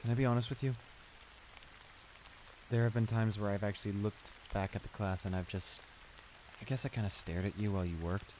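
Man acting shy, stammering low-voiced school bully, rain behind. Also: brisk, long pauses, a little hiss or hum, close to an everyday voice. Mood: sheepish, apologetic. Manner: stammering, hesitant, apologetic, easily embarrassed. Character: shy, flustered male school bully confessing a crush. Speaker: audio roleplay voice actor. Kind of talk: intimate improvised audio roleplay acting. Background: steady rain.

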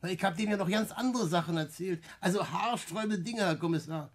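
An actor playing a wily, talkative small-time crook, chummy and evasive, in a 1960s German radio play. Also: male voice, sits high. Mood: cheekily innocent. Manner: chummy, evasive, halting repetitions. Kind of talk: German radio play acting around 1960.

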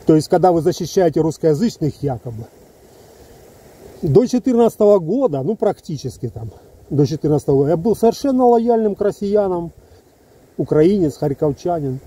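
A man in his fifties from Ukraine speaks into a phone held close, outdoors among trees. His voice is husky and very dark, and it sits fairly high for a man. He talks in an emphatic, heated way and keeps breaking off into asides. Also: sing-song, fairly quick. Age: 50s. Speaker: soldier vlogger. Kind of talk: casual video monologue to camera. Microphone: phone held close, selfie framing.

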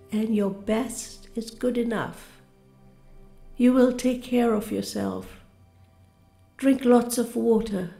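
A woman narrating a scripted guided meditation with soothing affirmations in a calm, gentle, deliberate manner. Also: fairly high pitch, gravelly. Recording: roomy reverberant voice over music. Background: music.

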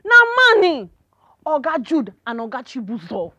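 A woman's voice: a little high, sing-song, moderate pace, darkish tone, slightly rough, no room sound, lo-fi sound. A woman with a Nigerian accent playing a dramatic, gossipy, pious compound neighbour in a comedy film. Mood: conspiratorial alarm. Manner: dramatic, emphatic.

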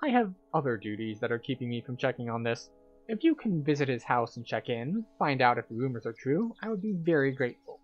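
A man's voice: medium pitch, sing-song, brisk, highs cut off, soft background music, close to an everyday voice. A man voicing a formal, earnest male investigator who gives out a quest in a game. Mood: earnest request.

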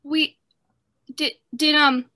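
A man's voice: very high-pitched, flat delivery, takes it slowly, sharp tone, pitched above the performer's own voice, exaggerated voice. A man voicing a flustered woman writer who arrives late to a video call and talks in a breathless, apologetic way.

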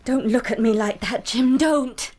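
An actress in a radio drama playing a trusting young wife who has grown anxious and wary. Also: female voice, on the high side, measured pace, a little mellow. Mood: alarmed, defensive.

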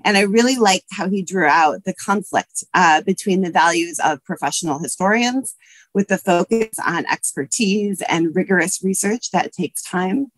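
A woman's voice: on the low side, normal speed, no room sound. A woman in her thirties speaks over a video call from a book-lined office, her voice scratchy from allergies. She talks earnestly, with many ums and uhs. The sound is dry and close. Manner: earnest, with frequent um and uh fillers. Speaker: history professor and panelist. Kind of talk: prepared remarks on a video call. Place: office with bookshelves. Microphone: webcam video call.

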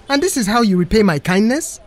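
A man performs an indignant middle-aged male customer in an audio story. The voice rides high and swings up and down as he harangues and demands. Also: animated, brisk. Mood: indignant, shouting.

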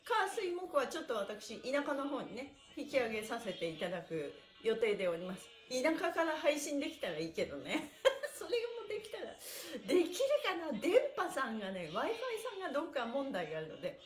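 A woman's voice: sits high, big pitch swings, fairly quick, faint music behind. A middle-aged woman cheerfully leading a livestream stretching class, encouraging and chatting with viewers, often with laughter in her voice. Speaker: stretching instructor and livestream host. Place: small indoor room with wooden floor. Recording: echoey bare room.

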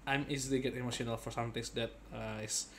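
A man's voice: medium pitch, steady tone, quick pace, creaky, fairly bright tone, slight background noise. Playful male gaming streamer, casual. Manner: playful, echoes phrases and repeats words. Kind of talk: casual playthrough commentary, reacting to dialogue. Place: indoor gaming setup. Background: game music and dialogue.

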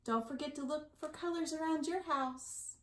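A woman in her forties reading a picture book aloud to young children, with sing-song emphasis and drawn-out key words. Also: high-pitched. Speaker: library storytime reader. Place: small indoor room at home. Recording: echoey room, slightly distant mic.

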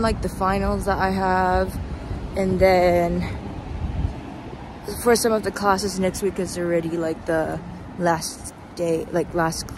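A young woman, tired and sleep deprived, chatting casually and haltingly in a rambling, self-deprecating way as she walks with her phone. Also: mid-range voice. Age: young adult. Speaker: vlogger, student performer. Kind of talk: casual walking vlog, rambling chat. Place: outdoors on a city street at night, walking. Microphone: phone at arm's length. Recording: noisy outdoor street, traffic ambience.

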